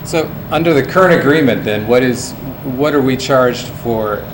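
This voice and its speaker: Male resident at the public mic; halting questions; echoing room. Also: medium pitch. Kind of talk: public comment. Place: city council chamber. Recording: echoey hall with hum.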